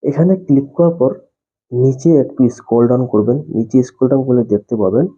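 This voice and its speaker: A man's voice: medium pitch, quick pace, warm tone, slightly rough. A man narrating a step-by-step phone tutorial, explaining things repetitively and addressing viewers directly. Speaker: tech tutorial host. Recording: muffled, dull lo-fi voice-over.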